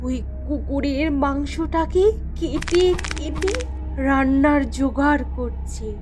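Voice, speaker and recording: A woman narrating a cartoon moral story in a plain, measured way. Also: sits high, background noise.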